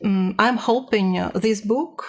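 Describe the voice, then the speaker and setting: A woman's voice: mid-range voice, slow pace, a little hiss or hum. A woman giving a casual personal report as a language learner, speaking hesitantly and a little nervously as she searches for words.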